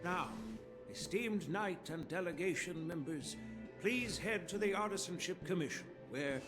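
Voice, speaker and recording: Elderly general, game: ceremonious, proud, animated melody, clear music underneath. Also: male voice, sits high. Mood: solemn, ceremonious. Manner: ceremonious, measured. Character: dignified old grandfather general, stern yet proud. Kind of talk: video game voice acting.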